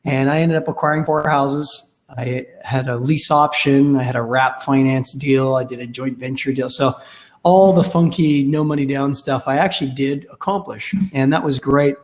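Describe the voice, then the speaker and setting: A Canadian man in his thirties with a raspy voice from a cold, telling his story candidly and wryly as a podcast guest. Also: mid-range voice, steady tone, normal speed. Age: 30s. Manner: candid, wry. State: has a cold. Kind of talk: casual interview storytelling.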